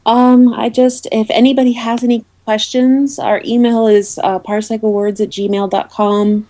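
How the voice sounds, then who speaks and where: A woman speaking as an interview guest, explaining things informally in a halting way with many ums. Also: fairly high pitch, flat delivery, mellow. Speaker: interview guest, awards organiser.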